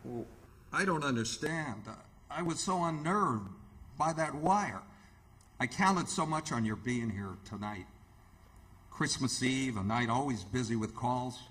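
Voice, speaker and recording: Careworn male hospital chief, radio drama, mid-pitched, theatrical, halting, earnest. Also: lively intonation, a bit bright. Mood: unnerved.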